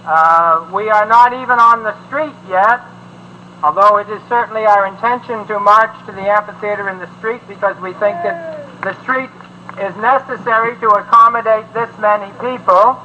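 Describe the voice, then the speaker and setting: Very high, sharp-voiced male protest leader. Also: normal speed. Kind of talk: public statement to press at a protest. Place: outdoors on a city street. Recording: dull archival field recording, faint noise.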